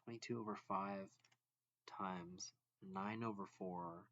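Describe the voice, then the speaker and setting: A man reading a math lesson aloud as a teacher, deliberately and step by step, phrase by phrase. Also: sits low, steady tone, a bit bright, dry recording, highs cut off. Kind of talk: slow read-along lesson narration.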